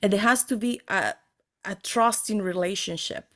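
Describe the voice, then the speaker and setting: A woman's voice: mid-range voice, moderate pace. A woman from El Salvador, talking conversationally as a podcast guest and acting out bits of dialogue to make her points. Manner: animated, illustrates points with imagined dialogue. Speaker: podcast guest, safety consultant.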